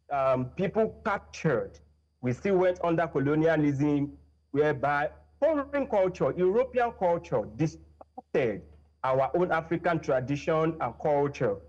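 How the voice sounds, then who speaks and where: Nigerian guy on a panel, kinda high voice, slow deliberate talk, dull muffled audio. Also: darkish tone. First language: Yoruba. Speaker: guest. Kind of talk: studio panel discussion.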